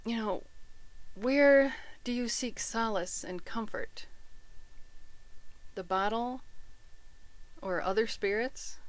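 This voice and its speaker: Slow-spoken woman musing aloud. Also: fairly high pitch, no room sound, rough recording. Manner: poses a string of gentle rhetorical questions. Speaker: host. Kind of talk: reflective spoken monologue.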